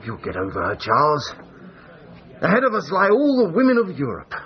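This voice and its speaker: A suave Edwardian gentleman adventurer with an English accent. His delivery swings widely and stays wry and urbane, in period radio-drama style. The sound is slightly dull. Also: on the high side, wildly swooping pitch, a little fast. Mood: breezy consoling. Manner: urbane, wry, formal old-fashioned phrasing. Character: masked Edwardian gentleman adventurer, suave, breezy, self-regarding. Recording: dull, band-limited broadcast audio.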